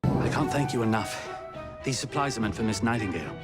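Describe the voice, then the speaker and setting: An actor playing a courteous, grateful Victorian supplier in a video game. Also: male voice, lowish voice, animated, fairly quick, loud music behind the voice, lightly acted. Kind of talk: video game cutscene acting.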